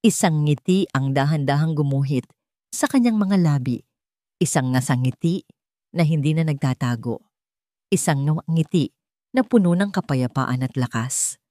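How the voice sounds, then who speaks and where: Filipino woman reading a dramatic story, low voice, slow deliberate phrasing, dry studio-ish sound. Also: animated, dark tone, no room sound. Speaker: story narrator. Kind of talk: dramatic scripted audio story narration.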